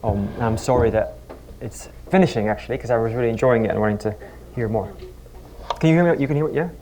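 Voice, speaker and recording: A man's voice: mid-range voice, fast talker, a little mellow, low-quality recording. Middle-aged male academic from the Netherlands, wry conference speaker on a stage mic, self-deprecating and chatty. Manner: wry, self-deprecating, conversational with frequent restarts. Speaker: physics professor giving a lecture. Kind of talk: conference talk with humorous anecdotes. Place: conference stage before a live audience.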